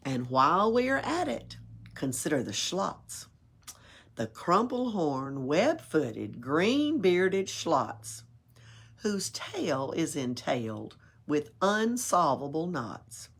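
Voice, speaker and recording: A woman's voice: low voice, slow pace, gravelly, slightly rough. A woman reading a rhyming picture book aloud at a library storytime, playful, with little asides of her own. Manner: expressive, playful, adds asides and chuckles.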